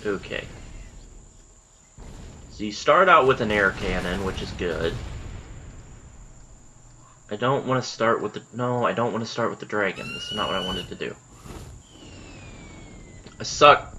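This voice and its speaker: A man's voice: lowish voice, wildly swooping pitch, a little slow, long pauses, background noise. A man hosting casual gameplay commentary, sounding stuffy in the nose after just waking up, chatty and self-deprecating. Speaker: gaming channel host. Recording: game sound and gunfire behind.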